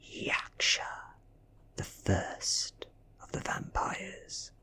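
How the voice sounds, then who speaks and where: Slow, whispery British man reading softly. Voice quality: breathy, half-whispered. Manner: hushed, deliberate, dramatic pauses. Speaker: audiobook reader. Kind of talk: ASMR soft-spoken story reading.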